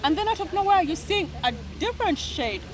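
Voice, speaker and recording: A woman co-hosting a podcast, telling stories with lively emphasis and often repeating words as she makes her point. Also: extremely high pitch, a little fast. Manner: animated storyteller, acts out quoted voices. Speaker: podcast co-host. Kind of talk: casual podcast discussion.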